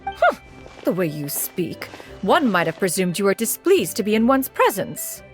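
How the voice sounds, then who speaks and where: Actress as haughty immortal lady, medium-pitched, animated sweeping intonation, imperious, archaic formal diction, video game, faint music. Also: moderate pace, natural voice. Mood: haughty, piqued. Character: haughty, aloof elder immortal woman, proud and eccentric.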